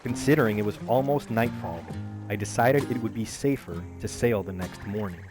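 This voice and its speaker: A man narrating a first-person adventure story in a measured, calm storytelling manner. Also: on the low side. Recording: faint music behind voice.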